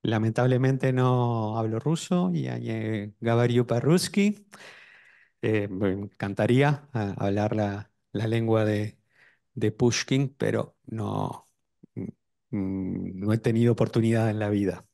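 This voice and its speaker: A middle-aged man presenting an event over a video call, pausing often with fillers and restarts. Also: on the low side, vocal fry, mellow, no echo, studio quality. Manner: hesitant, with many fillers and restarts. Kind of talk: formal event introduction.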